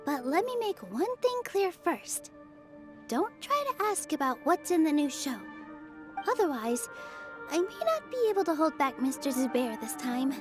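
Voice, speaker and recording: A woman voicing a sweet, gentle young female dancer who speaks softly and politely. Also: sits high, sing-song, normal speed, faint music behind. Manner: soft, polite, earnest. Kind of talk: game voice acting.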